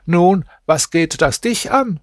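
A man reading aloud, voicing a sheltered young woman who speaks gently and questioningly. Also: high-pitched, lively intonation, takes it slowly, no echo, clean studio sound, voice pushed up. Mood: gentle. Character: sheltered young woman, proud but tender. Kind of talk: audiobook reading.

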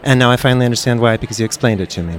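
Friendly radio host. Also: male voice, medium pitch, racing. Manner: friendly, chatty, laughs often. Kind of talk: live radio interview.

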